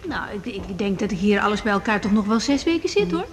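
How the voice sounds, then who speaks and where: An actress playing a frank, kind young female doctor in a radio drama, informal, gentle and a little hesitant. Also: medium pitch, very animated, quick pace, slight background noise, lightly acted. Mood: friendly, brisk. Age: young adult. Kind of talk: 1980s Dutch radio drama acting.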